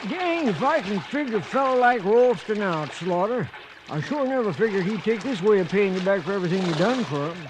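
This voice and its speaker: Casting: folksy American cowboy sidekick, high-pitched, grumbling and puzzled, western radio play, noisy old recording. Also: male voice, animated, brisk. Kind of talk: 1950s western radio acting.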